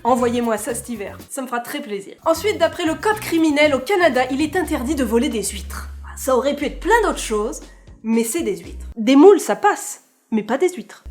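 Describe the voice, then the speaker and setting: A woman's voice: sits high, expressive, a little fast, soft background music. A young woman hosting a casual vlog, playful and chatty, with joking asides. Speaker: vlogger host.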